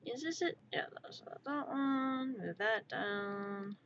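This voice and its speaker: A woman streamer whose first language is American Sign Language chats in a joking, rambling way. Her voice sits a little high and she swears casually. The stream audio is dull and muffled. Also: takes it slowly, a bit bright, a little hiss or hum. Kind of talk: casual live-stream chat.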